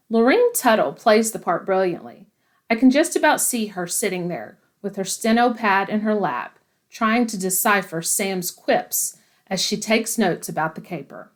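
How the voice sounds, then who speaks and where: Woman podcast host, mid-pitched voice. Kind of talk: warmly worded scripted podcast commentary.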